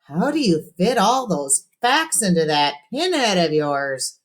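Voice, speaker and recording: A woman voicing a steady, encouraging boy who coaches his friends. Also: fairly low pitch, unhurried, pitched above the performer's own voice. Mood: coaching.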